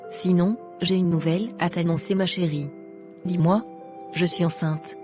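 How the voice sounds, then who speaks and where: A woman voices a devout young friend in an animated drama. She is gently firm and supportive, in a soft, fairly low voice. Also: moderate pace, faint music behind, lightly acted. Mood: gentle exhortation.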